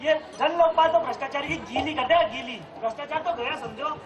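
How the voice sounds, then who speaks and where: Guy playing blustery blaming dad in a stage comedy, highish voice, echoey hall. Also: a little fast, a crowd in the background. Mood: opinionated, enthused. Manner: opinionated, blaming, projecting to an audience. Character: blustering, exasperated middle-aged Indian husband and office-going father. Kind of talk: comic stage-play dialogue. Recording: echoey hall with audience noise.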